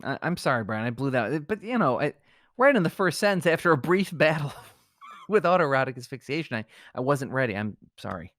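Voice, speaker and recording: A man's voice: mid-range voice, big pitch swings, a little fast, a bit bright, no echo, clean studio sound. A man co-hosting a comedy podcast, moving between banter and mock-solemn reading.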